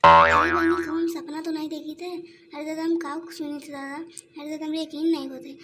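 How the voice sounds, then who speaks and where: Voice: high voice, big pitch swings, moderate pace, pushed and bright, heavily acted. A performer with an Awadhi accent voicing a grumbling, sarcastic older village mother-in-law in a comedy cartoon. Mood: mock disbelief. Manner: nagging, sarcastic. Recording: noisy lo-fi cartoon soundtrack.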